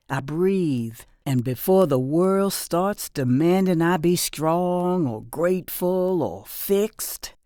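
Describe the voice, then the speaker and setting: An actress playing a reflective, weary but resilient former prisoner and mother in middle age, delivering an intimate, deliberate audio drama monologue. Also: low-pitched, mellow, no echo, studio quality. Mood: hopeful, resolute.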